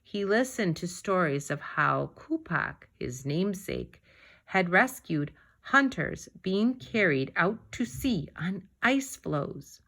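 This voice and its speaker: Woman, children's read-aloud narrator, bright tone, very unhurried pace, measured and expressive. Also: lowish voice, gravelly. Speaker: storybook reader.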